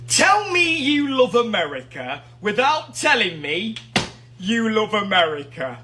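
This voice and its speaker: An exuberant British man speaks in a very high, wildly swooping voice, like an excited social media storyteller. There is faint noise behind him. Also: measured pace.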